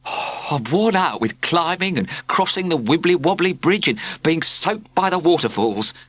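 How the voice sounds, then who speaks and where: A man's voice: fairly high pitch, lively intonation, moderate pace, fairly bright tone, dry recording, voice pushed up. A man voicing a tired, self-pitying male postman who climbs a mountain, in a storybook reading. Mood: lamenting. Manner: lamenting, self-pitying, jokey.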